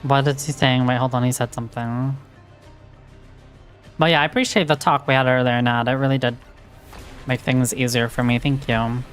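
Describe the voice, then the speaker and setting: A woman's voice: rumbling low, steady tone, normal speed, long pauses, soft background music. Frank, chatty woman streaming a game. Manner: chatty, frank, many "like" fillers. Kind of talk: casual chat while gaming.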